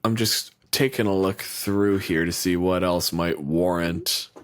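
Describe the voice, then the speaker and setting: A man's voice: deep voice, fairly even intonation, unhurried. A man co-hosting a podcast in casual chat, blunt and profane, riffing with lots of energy. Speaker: podcast co-host.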